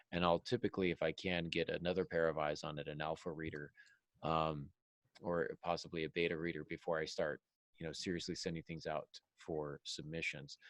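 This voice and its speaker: A man hosting a casual podcast discussion, giving writing advice in halting phrases and repeating points for emphasis. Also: low voice, no echo. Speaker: podcast host and fiction writer.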